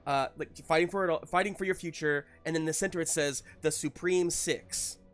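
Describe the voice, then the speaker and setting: A man improvising narration as game master of a tabletop game, piecing scenes together haltingly. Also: a little high, steady tone, over loud music. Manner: halting, searching for words in pieces.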